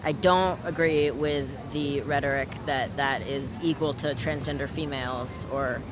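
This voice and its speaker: A man's voice: mid-range voice, steady tone, unhurried, muffled recording, background noise. A young man in his late teens, answering a street interviewer candidly, with lots of fillers and casual swearing. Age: young adult. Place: outdoors in a city park.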